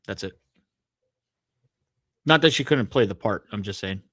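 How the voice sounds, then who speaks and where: A man with a fairly low voice speaks as a podcast co-host. His casual talk is measured and hesitant, with careful qualifications, captured in a dry, close-sounding recording. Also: animated, a bit bright, slightly rough, dry recording. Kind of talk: casual chat about comics and films.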